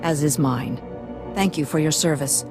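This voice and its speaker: A woman's voice: low voice, moderate pace, music in the background. A woman acting a dutiful military officer in a video game, formal and courteous. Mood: formal, grateful.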